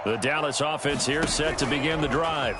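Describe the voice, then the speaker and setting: A man playing a polished American play-by-play announcer, giving crisp scripted football commentary for a sports video game. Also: fairly high pitch, normal speed, lightly acted. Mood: measured, professional. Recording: game audio under loud stadium noise.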